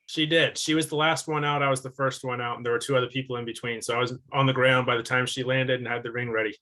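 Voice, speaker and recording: A man's voice: medium pitch, flat delivery, fast, bright, forceful tone. A man introducing himself on a video call, in a playful, joking way.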